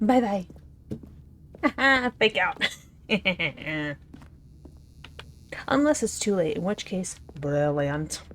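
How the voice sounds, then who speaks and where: A woman's voice: lowish voice, sing-song, drawn-out words, pauses often, slight background noise. Giggly sarcastic young woman gaming. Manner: giggly, sarcastic, swears casually. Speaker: let's play host. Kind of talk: casual game commentary.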